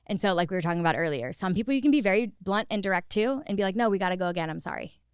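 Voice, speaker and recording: A woman's voice: mid-range voice, expressive, racing, clear, resonant tone, no echo, studio quality. A woman talking conversationally as an interview guest, telling stories with frequent "like" and acted-out quotes. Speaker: podcast guest, actor turned director.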